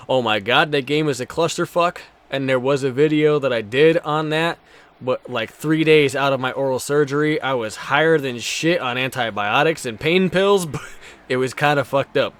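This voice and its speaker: A man's voice: medium pitch, a bit bright. A man in his twenties doing casual, foul-mouthed live commentary while streaming a racing game, bantering jokily with viewers.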